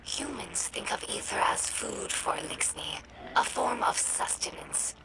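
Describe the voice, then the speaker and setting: A woman voicing an earnest young female alien scribe in a video game audio log, explaining in a measured, formal and thoughtful way with a breathy, creaky voice. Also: mid-range voice, lively intonation, very noisy. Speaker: scribe recording audio logs for humans.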